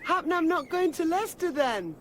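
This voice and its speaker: High-voiced, teasing young countrywoman. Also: sing-song, fairly quick, slight background noise. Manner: coy, playful. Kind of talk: radio drama acting.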